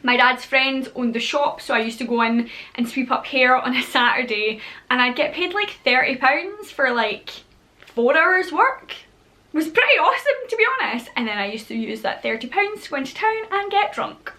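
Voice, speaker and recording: Scottish woman, 30s, bubbly vlog chat, kinda high and really bright, little whispered jokes. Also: animated, normal speed. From Scotland. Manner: chatty and bubbly, with playful whispered asides. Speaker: vlogger. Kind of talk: casual chat to camera.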